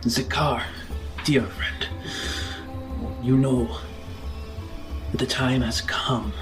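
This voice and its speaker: A man's voice: medium pitch, steady tone, very slow, over music. A man voicing a grave, commanding servant of a lost master in a tabletop game, speaking in terse, solemn, urgent lines. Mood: grave, hushed.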